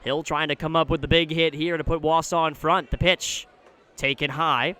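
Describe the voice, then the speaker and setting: A man's voice: on the high side, smooth. An American man calling baseball play-by-play for radio, describing each moment in detail in a live outdoor broadcast. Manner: measured description, excited on big plays. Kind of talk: live sports commentary. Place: outdoor ballpark broadcast position.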